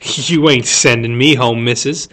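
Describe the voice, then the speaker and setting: A man's voice: mid-range voice, measured pace, bright, forceful tone. A man voicing a rude, hulking backwoods schoolboy in a storybook, blunt and surly, with a drawl. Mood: surly, defiant.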